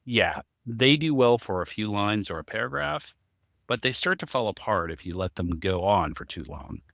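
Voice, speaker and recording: Bright, lowish-voiced man. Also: sing-song, moderate pace, creaky, no echo, studio quality. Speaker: podcast co-host. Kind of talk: conversational explanation.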